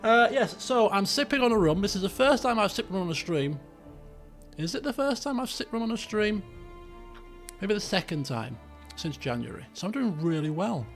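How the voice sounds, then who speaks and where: A British man with a croaky voice, chatting casually and in a rambling, colloquial way as he hosts a game livestream. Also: sits high, expressive, moderate pace, soft background music. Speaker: game streamer, host.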